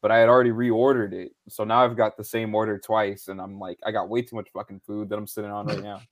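A man with a slightly low voice that is going hoarse, talking in a loose, easygoing way. He swears freely and keeps tossing in "bro" and "man" as he co-hosts a live show. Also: level tone, fast talker. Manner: laid-back, loose, swears a lot, says "bro" and "man". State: losing his voice. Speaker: podcast co-host. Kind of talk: casual live-stream chat.

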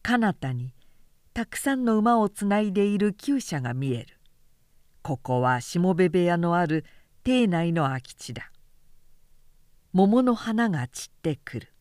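Slow, measured woman audiobook narrator. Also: on the low side, sing-song, a little mellow, no echo, studio quality. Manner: measured, deliberate. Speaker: professional narrator.

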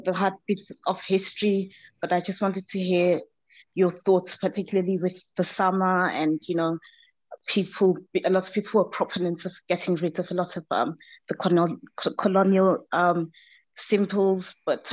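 A lower-voiced woman asks a question slowly and tentatively, with many fillers. Her voice comes over a dull, lo-fi line in a dry room. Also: dry recording. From Zimbabwe. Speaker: audience member asking a question.

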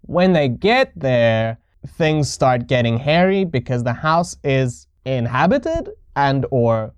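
A man co-hosts a podcast with casual, bantering talk. His voice is smooth and clear at a middling pitch. The sound is close and dry, with very little room in it. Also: sing-song, slow pace, no echo, muffled recording. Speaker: podcast co-host.

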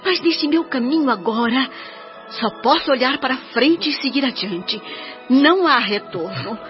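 A woman's voice: sits high, expressive, a little slow. An actress with a Brazilian accent playing a tender, pious spirit mother who speaks solemnly to her son in a melodramatic radio drama. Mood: solemn encouragement. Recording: muffled radio drama with music behind.

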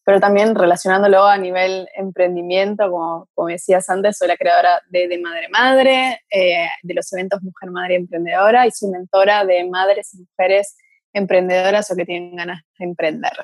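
A woman's voice: on the low side, steady tone, normal speed, no echo. Chatty woman, dry sound. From Brazil. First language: Portuguese. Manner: chatty, thinks aloud with fillers. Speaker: guest, mentor to mothers. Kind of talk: casual interview chat.

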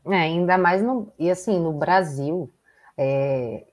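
A woman, a guest author on a casual podcast, speaking in a calm, measured way. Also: fairly low pitch.

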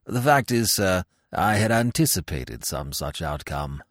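Picture a man acting as an unruffled English valet in a comic storybook. He speaks low, formal and measured, deferential to the last and entirely deadpan. The sound is dry and close. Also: no room sound, heavily acted. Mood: calm. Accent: British.

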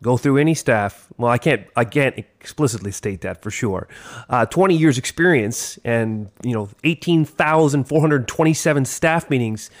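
A man in his forties co-hosting a casual podcast chat, with a big booming theatrical delivery. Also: expressive, normal speed. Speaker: podcast co-host, teacher.

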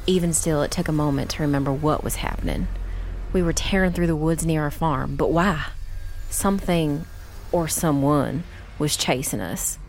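A woman portrays a young witch recounting her family's ordeal for a dark fantasy audiobook. Her voice sits low, and she tells the story in a grave, measured and dramatic manner. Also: slight background noise. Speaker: first-person narrator.

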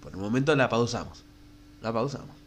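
A man's voice: medium pitch, lively intonation, a little fast, slight background noise. A young man with a Rioplatense Argentine accent, hosting a casual solo podcast while he has a cold, with a stuffed nose and breathing through his mouth. He rambles frankly and crudely, with plenty of fillers. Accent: Rioplatense Spanish. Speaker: podcast host.

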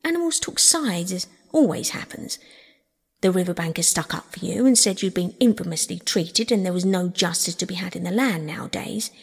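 A woman voicing an earnest, excitable male river rat, a loyal friend who speaks emphatically in an old-fashioned way in a storybook. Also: lowish voice, normal speed, gravelly, husky, long reverb tail, exaggerated voice.

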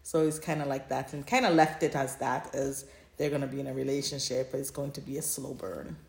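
A woman with a quite low voice, recorded in an echoey room. She chats casually as a podcast co-host, summing up stories in plain, everyday phrasing. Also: steady tone, a little fast, gravelly, a little mellow. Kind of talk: casual chat summarizing fan fiction.

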